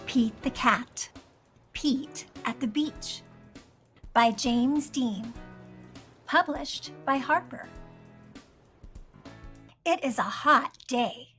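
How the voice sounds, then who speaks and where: A woman's voice: sits high, extremely slow, long pauses, a bit bright, soft background music. A woman reading a children's picture book aloud, expressively and with careful enunciation. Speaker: storybook reader.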